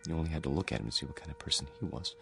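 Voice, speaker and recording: An actor playing an earnest, vulnerable psychiatric patient in a radio drama, with a mild, hesitant manner. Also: male voice, rumbling low, very fast, creaky, faint music behind. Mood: anxious, yearning.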